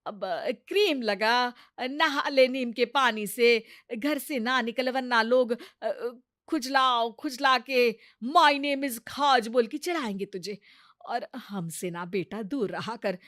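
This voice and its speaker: A woman's voice: a little high, takes it slowly, fairly bright tone, dry recording, clean studio sound. A woman playing a stubborn, hot-tempered village mother-in-law in a comedy cartoon, scolding with blunt retorts. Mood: alarmed. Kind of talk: Hindi comedy cartoon dubbing.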